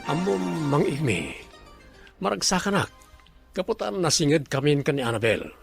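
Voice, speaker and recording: Casting: scheming radio-drama boss, gravelly, slightly high male voice, pushy, dry studio sound. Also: very animated, normal speed, music in the background. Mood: pressing, teasing. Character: scheming, commanding resort businessman, a boss. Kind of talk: Ilocano radio drama acting.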